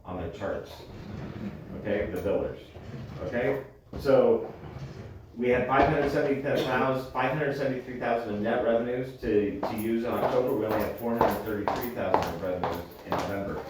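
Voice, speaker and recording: A man's voice: medium pitch, normal speed. Guy going over budget numbers at a board meeting, super echoey, far from the mic. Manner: explanatory, checks understanding with okay. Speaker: finance officer presenting to a board. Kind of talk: explaining financial figures at a meeting. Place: indoor public board meeting room. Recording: echoey meeting room, distant mic, noisy.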